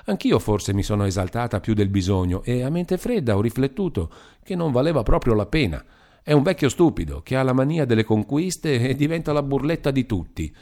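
A man reading aloud and voicing a lively, chattering young widow with a bright, teasing manner. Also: mid-range voice, brisk, pitched above the performer's own voice. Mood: chatty.

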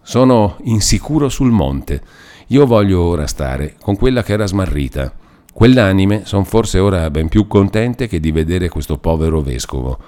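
A man's voice: low-pitched, expressive, moderate pace, warm tone. A man reading a classic novel aloud, voicing a kind elderly cardinal who speaks solemnly and tenderly.

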